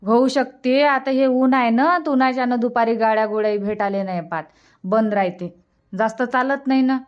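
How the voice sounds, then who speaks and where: A woman's voice: fairly high pitch, moderate pace, muffled recording, lightly acted. A woman voicing a reassuring grandmother in a village family cartoon, with a colloquial Vidarbha Marathi accent. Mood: matter-of-fact. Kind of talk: cartoon comedy dubbing in rural dialect.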